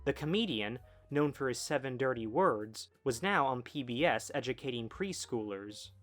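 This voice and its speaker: A man's voice: medium pitch, lively intonation, moderate pace, a bit bright. A man reading scripted documentary narration as a video essay narrator. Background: background music.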